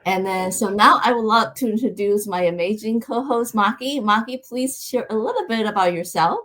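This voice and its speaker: A middle-aged woman from Taiwan hosting a live online interview, speaking in measured, scripted-sounding introductions with some fillers. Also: mid-range voice, a bit bright. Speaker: host.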